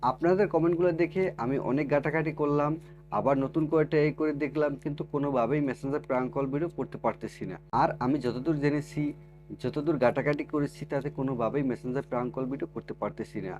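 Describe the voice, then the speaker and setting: A man's voice: mid-range voice, darkish tone, highs cut off. Man narrating a phone tutorial. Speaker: tech tutorial video host. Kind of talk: scripted tutorial narration, addressing viewers.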